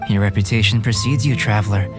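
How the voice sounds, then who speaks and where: An actor playing a calm, intellectual young male alchemist in a video game, speaking in a measured, formal, detached yet curious way. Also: on the low side, close to an everyday voice. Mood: intrigued, reflective. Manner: measured, formal, articulate, soft-spoken scholarly delivery. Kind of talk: scripted video game character dialogue. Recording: clear music behind the voice. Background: game background music.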